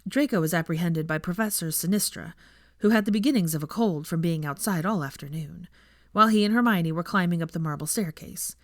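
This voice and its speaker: A woman reading fan fiction aloud as scripted, expressive story narration. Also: lowish voice, fairly quick, no echo, studio quality.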